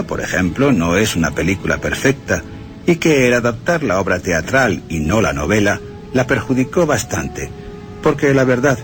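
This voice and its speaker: Expressive low-voiced Castilian Spanish man. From Spain. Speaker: radio presenter. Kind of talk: scripted film-history narration.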